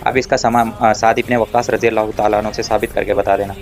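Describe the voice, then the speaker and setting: A man's voice: lowish voice, flat delivery, fast. A man giving an argumentative religious rebuttal, addressing his opponent directly in a taunting, confrontational way.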